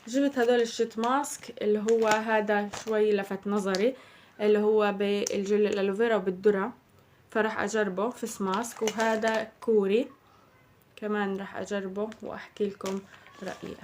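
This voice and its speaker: A young woman with a Palestinian Arabic accent, chatting casually through a shopping haul, her voice slightly hoarse from a cold. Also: medium pitch, fairly even intonation, fairly quick, a little mellow, a little hiss or hum. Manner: chatty, addresses viewers as girls. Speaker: vlogger.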